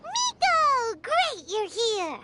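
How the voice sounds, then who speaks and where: An extremely high, piercingly bright childlike sprite. She is a chirpy, inquisitive game companion whose voice bounces all over the place. Music plays behind her. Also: drawn-out words, full character voice. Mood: excited. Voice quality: squeaky, childlike. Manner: excitable, inquisitive. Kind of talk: video game character acting.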